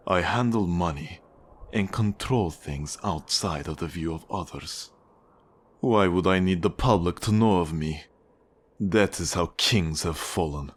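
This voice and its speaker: Man acting a slow, deep-voiced Russian mafia boss. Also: slightly rough. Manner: measured, condescending, menacing. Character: cold, manipulative male Russian mafia boss and accountant. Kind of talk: ASMR roleplay acting.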